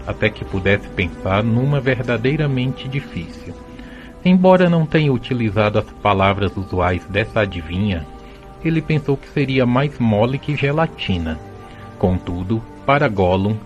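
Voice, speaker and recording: A man's voice: medium pitch, animated, moderate pace, highs cut off. A man giving scripted storybook narration for an audio drama. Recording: music behind the voice.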